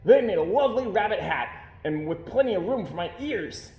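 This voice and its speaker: A man voicing an excitable, breezy daredevil rabbit in a storybook. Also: sits high, a little fast, pitched above the performer's own voice. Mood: breezy, excited. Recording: roomy, echoey indoor sound.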